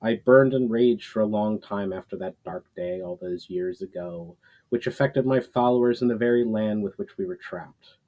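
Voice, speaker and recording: A man voicing a proud, repentant old warrior spirit in a storybook, speaking in a solemn, formal, measured way. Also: on the low side, dark tone, close to an everyday voice, low-quality recording. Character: Kiral, ancient male warrior spirit, proud, repentant, weary.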